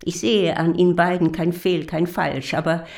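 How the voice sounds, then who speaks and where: An actress playing a proud old German countess in a radio drama, gravelly, formal and indignant, grieving for her monarchy. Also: fairly low pitch, level tone, normal speed, darkish tone. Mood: pleading, weary. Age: older.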